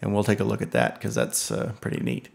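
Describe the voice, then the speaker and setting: A man giving a relaxed screen-recorded software tutorial, thinking aloud with many ums and uhs. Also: lowish voice, fairly quick, creaky. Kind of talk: casual screen-recorded tech walkthrough.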